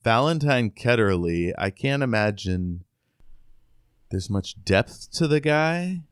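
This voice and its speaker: A man with a low-pitched voice talks through a book as a podcast co-host. The sound is close and dry, with very little room around him, and the delivery is casual and conversational. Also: expressive, slow, clean tone, no echo. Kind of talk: casual book discussion with chapter recaps.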